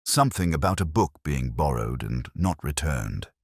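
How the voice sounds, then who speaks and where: A man's voice: rumbling low, lively intonation, normal speed, breathy edge, dry recording, studio quality, exaggerated voice. A man voicing a guarded, reluctant college porter who speaks in short, hesitant phrases.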